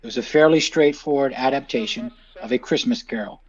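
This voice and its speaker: A man reading a scripted introduction to a program in a measured, articulate presenter's delivery. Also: medium pitch, muffled recording. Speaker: team representative introducing the show.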